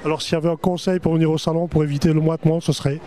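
A middle-aged man works the trade-fair floor with a handheld mic, talking fast in a chatty, salesman-like patter. His voice sits a bit high but sounds dark and mellow. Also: little pitch movement, slight background noise. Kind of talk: promotional interview at a trade show. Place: exhibition hall stands. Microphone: handheld microphone.

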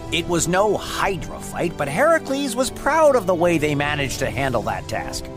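A man narrating a story for children as a podcast host, playful and wry, with dramatic emphasis. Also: on the high side. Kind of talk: animated storytelling narration of Greek myths for children.

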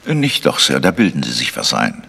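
Husky, very low-voiced village sexton. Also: male voice, huge pitch swings, normal speed, bright, forceful tone, heavily acted. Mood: defensive, guarded. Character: deferential, evasive village church sexton. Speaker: actor in a German radio crime play. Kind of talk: German radio mystery acting. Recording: long church-like reverb.

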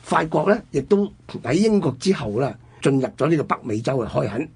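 A man's voice: medium pitch, big pitch swings, a little fast, a little mellow, slightly rough. A man with a Hong Kong Cantonese accent, telling history conversationally as a radio co-host.

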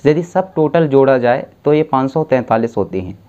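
A man's voice: mid-range voice, lively intonation, a little mellow, clear, resonant tone, dull audio. A young man giving an exam-prep civics lesson to camera, explaining step by step and often correcting himself. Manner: explains slowly with self-corrections and restarts. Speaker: civics tutor. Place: dim indoor room, seated at a desk.

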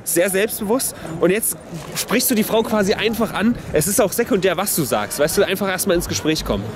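A man's voice: sits high, animated, brisk, crowd noise. A young man in a street prank comedy sketch, talking as a cocky, self-styled pickup coach outdoors on a city square.

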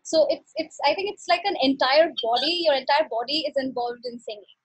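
A woman's voice: sits high, expressive, brisk, no echo, rough recording. A young woman interviewing a guest on a video call through a headset, asking questions hesitantly and often repeating words.

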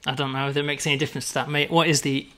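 A man's voice: medium pitch, flat delivery, very fast, fairly bright tone. A man, a game designer, chatting easily with a colleague, laughing often and rambling with restarts. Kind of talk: casual chat with a colleague.

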